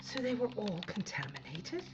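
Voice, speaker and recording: A woman's voice: medium pitch, big pitch swings, normal speed, muffled recording, background noise, lightly acted. An actress playing a tense woman spaceship captain in a science fiction audio drama, on a band-limited, humming recording. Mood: pensive, wary.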